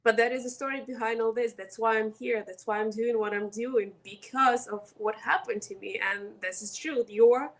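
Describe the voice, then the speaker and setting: A woman's voice: a little high, steady tone, normal speed, few pauses. A woman from Ukraine with a Ukrainian accent, speaking as a podcast guest in an earnest, emphatic way. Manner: earnest and emphatic, stresses words one at a time. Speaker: guest, coach. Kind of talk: podcast interview.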